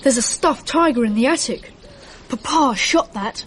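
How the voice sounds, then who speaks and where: A woman playing a proud, upper-class young boy with an English accent and a brisk, boyish manner in a radio drama. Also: high voice, animated, slightly rough. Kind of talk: period radio drama dialogue. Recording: noisy archive radio drama recording.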